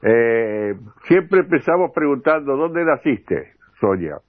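Older Uruguayan radio host on a tinny line. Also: male voice, medium pitch, sing-song, takes it slowly. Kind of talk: radio greetings and interview. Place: radio programme on air. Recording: tinny phone-like radio sound, roomy echo.

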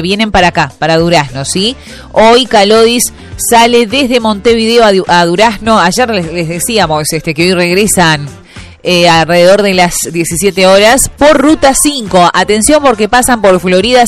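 A Uruguayan woman hosting a local radio show, chatting casually and reading listener messages with lots of fillers. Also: mid-range voice. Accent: Uruguayan Spanish. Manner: chatty, many fillers and restarts. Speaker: radio host.

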